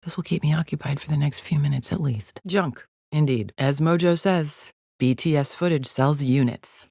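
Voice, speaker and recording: A woman's voice: sits low, normal speed, creaky, a little mellow, husky, dry recording, clean studio sound, heavily acted. An actress playing a haughty alien gladiator in a video game, formal, clipped and menacing as she guides the player with open contempt. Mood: cold, deadpan. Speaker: mission guide character.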